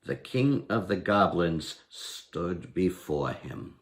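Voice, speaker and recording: Slow, animated older male storyteller. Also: deep voice. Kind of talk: expressive story reading. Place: indoor room at home, by lit candles.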